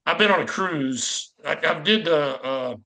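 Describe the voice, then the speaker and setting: Chatty man with a bright, higher-pitched voice. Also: measured pace, rough recording. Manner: chatty, informal, rambling. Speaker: podcast host interviewing guests. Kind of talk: casual conversational interview.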